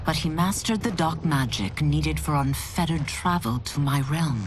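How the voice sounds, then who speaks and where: An actress playing a repentant demon warrior in a video game, solemn and resolute. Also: female voice, low-pitched, a little slow, noisy background. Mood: solemn, earnest.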